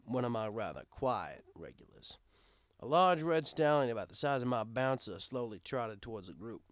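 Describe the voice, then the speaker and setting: A man's voice: mid-range voice, sing-song, moderate pace, dry recording. A man reading a first-person story as scripted narration.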